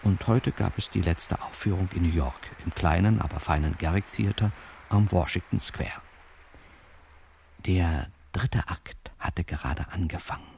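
A man's voice: sits low, unhurried, creaky, a little mellow, slight background noise. An actor playing a wry, self-satisfied male newspaper reporter, chattily narrating his own story in a radio crime drama. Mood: wry, chatty.

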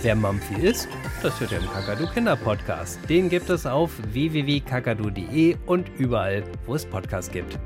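A man's voice: mid-range voice, very animated, moderate pace, over loud music. A man hosting a children's podcast, reading a scripted promo.

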